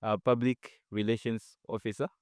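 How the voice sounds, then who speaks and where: Male podcast host, low smooth voice, relaxed and affirming, dry close mic. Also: moderate pace, no echo. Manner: relaxed, affirming, short "yeah" responses. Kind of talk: casual podcast interview.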